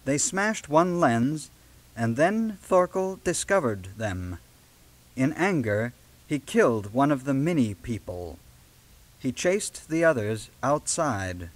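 A man narrating a children's read-along book in a measured, deliberate storytelling style with dramatic emphasis, on a late-1970s audio cassette. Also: mid-range voice, sing-song.

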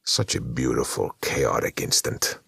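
A man voicing a sinister male demon who feeds on despair, speaking slowly and scornfully in a whispery voice. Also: extremely low pitch, gravelly, pushed and bright, slightly rough, no echo, studio quality, full character voice. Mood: gloating. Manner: slow, cold and scornful.

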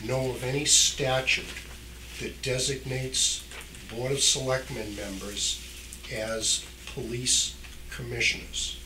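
A man putting questions at a public meeting, polite but insistent and a little halting. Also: medium pitch, fairly even intonation. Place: municipal meeting room. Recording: echoey, noisy room, distant microphone.

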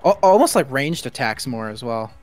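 A man co-hosting a game stream, chatting casually in an animated, opinionated way. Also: mid-range voice, moderate pace, over music. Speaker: stream co-host. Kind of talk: casual chat over gameplay.

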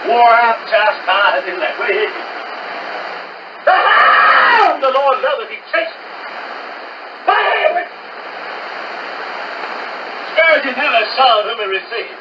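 Screaming high-pitched male preacher on noisy shortwave. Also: big pitch swings, slow pace, pauses often. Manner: screaming, shouted exhortation with sudden drops into talk. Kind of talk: fiery Pentecostal holiness sermon. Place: heard from a shortwave receiver's speaker. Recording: noisy, muffled shortwave radio broadcast, distant.

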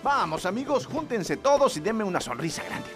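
High, swooping male cartoon animal. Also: big pitch swings, normal speed. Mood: cheerful. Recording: music under the voice.

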